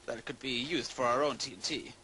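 A man voicing a courteous, scholarly old-fashioned gentleman farmer, using formal archaic phrasing in improvised video game chat. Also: mid-range voice, fairly even intonation, a little fast, slight background noise, lightly acted. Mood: courteous, earnest.

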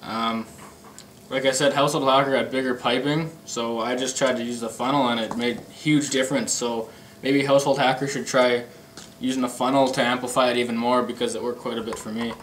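A young man with an average-pitched voice talks casually through a homemade project. His phrasing is loose and a little hesitant, like an informal hobby demonstration. It is an amateur camera recording with faint background noise. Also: level tone, brisk, fairly bright tone. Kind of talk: casual show-and-tell. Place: indoor room with a pool table. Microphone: camera mic an arm's length or more away. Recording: noisy amateur camera microphone.

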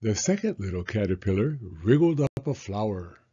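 A man in his sixties reading a children's picture book aloud in a measured storytelling manner. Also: fairly low pitch, big pitch swings, mellow, breathy edge, muffled recording. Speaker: story reader and host, elementary school teacher.

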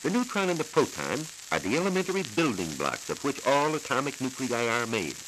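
An American man working as a science reporter, giving a formal, measured, scripted explanation in 1940s radio style. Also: mid-range voice, big pitch swings. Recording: hissy, crackly 1940s transcription disc.

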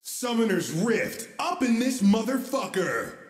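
High, echoing, brash game announcer played by a man. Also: very animated, a little slow. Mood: brash hype. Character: brash, foul-mouthed game announcer hyping the battle. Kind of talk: parody video game announcer pack. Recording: echoey hall-like reverb from game clip.

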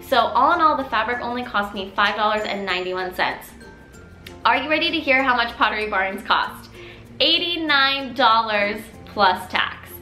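Upbeat young mom vlogger, voice a bit scratchy, bright and fairly high, roomy living room with soft music behind. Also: female voice, animated, moderate pace. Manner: upbeat, chatty, encouraging. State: slightly scratchy from a cold. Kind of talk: casual DIY tutorial.

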